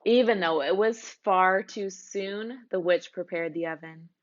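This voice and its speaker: A woman reading a children's storybook aloud in an expressive narrating style. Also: fairly low pitch, unhurried, muffled recording.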